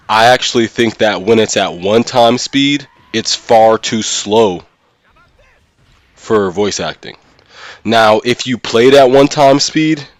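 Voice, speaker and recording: A man's voice: mid-range voice, dry recording. A man hosting a gaming livestream, talking casually and informally with slang and frequent check-ins to his listeners. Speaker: streamer and host of a gaming channel.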